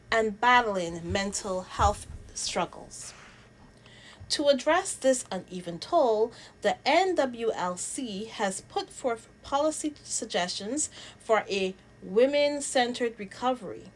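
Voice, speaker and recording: A woman from Barbados hosting a casual news commentary vlog, talking and reading aloud in a halting way, repeating words for emphasis. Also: on the high side. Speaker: host of a royal news commentary channel.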